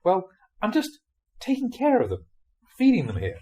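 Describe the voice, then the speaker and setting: Man acting village fisherman, raised pitch, swooping intonation, protesting, conscience-torn, spoken storytelling. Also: wildly swooping pitch, moderate pace, dark tone. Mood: self-excusing.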